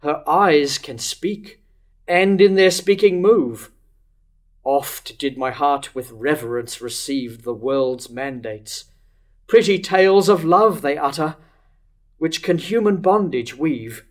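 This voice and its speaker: A man's voice: fairly high pitch, expressive, takes it slowly. An older man playing a wavering, lovesick king in a declamatory staged reading of verse tragedy. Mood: lovesick, tormented. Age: older, about 60s to 70s. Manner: declamatory, rhetorical questioning. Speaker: actor reading Herod in a staged play reading. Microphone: stand microphone at a lectern.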